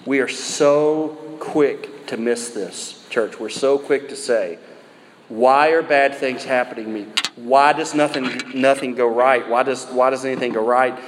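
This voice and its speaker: A man's voice: mid-range voice, a little slow. A middle-aged American man preaching a sermon in an emphatic yet conversational way, repeating phrases and posing rhetorical questions. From United States. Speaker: pastor preaching to a church. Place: large indoor room, live sermon. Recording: roomy hall reverb, some background noise.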